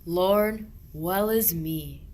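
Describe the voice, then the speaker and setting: A woman's voice: fairly low pitch, extremely slow, a little mellow, slight background noise. A woman playing a pious, earnest medieval shepherd, declaiming rhymed verse in a radio play. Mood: adoring.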